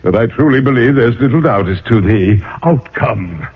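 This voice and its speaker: An actor playing a proud, lovelorn aristocratic ghost in a theatrical radio drama style, in grandiloquent phrases. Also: male voice, medium pitch, lively intonation, moderate pace, natural voice. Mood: indignant, grandiose. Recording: muffled old radio broadcast recording.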